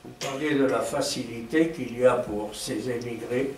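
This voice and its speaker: A man asking formal, measured questions of a speaker after a lecture in an auditorium. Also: medium pitch. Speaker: questioner at a debate. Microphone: distant. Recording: echoey hall, distant mic, faint noise.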